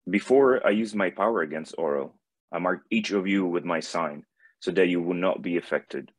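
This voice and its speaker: A man acts out a benevolent god of light for an animated fantasy. The voice is very deep and solemn, with grave, measured phrasing. The sound is dry and close. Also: dry recording. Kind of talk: animated fantasy script table read.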